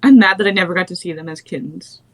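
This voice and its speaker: A woman's voice: fairly low pitch, fast talker. A young adult woman chatting casually with friends on a stream. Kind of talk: casual group chat.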